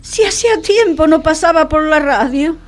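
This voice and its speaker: A woman's voice: high voice, moderate pace, faint music behind, close to an everyday voice. A woman playing a sentimental, wistful lady in a theatrical radio play. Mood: relieved, curious. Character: sentimental, wistful woman fond of her ghost friend.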